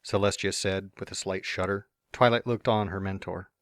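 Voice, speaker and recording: A man's voice: deep voice, fairly even intonation, normal speed, dry recording, clean studio sound. A man reading a fan story aloud as narrator, with playful, smug first-person asides.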